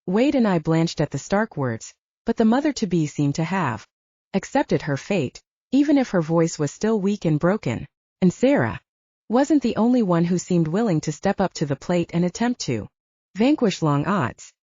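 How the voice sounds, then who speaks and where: Female narrator for fiction audiobook: soft-edged mellow tone, animated melodic delivery, scripted storytelling. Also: lowish voice, moderate pace, no room sound, lo-fi sound.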